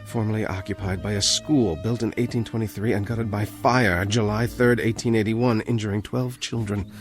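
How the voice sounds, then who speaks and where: A man's voice: fairly low pitch, fairly even intonation, moderate pace, soft background music, natural voice. An actor as an anguished, haunted young man. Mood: dawning dread. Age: young adult. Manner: troubled, brooding, earnest. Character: anguished young time traveller, helpless and haunted. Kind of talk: old television drama acting.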